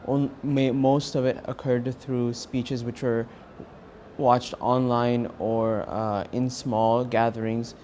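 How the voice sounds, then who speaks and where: Young American man, mid-pitched voice, halting earnest testimony, light ambient noise behind. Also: little pitch movement, darkish tone. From California, United States. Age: young adult. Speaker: university student interviewed as a pilgrim. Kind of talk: personal testimony to camera.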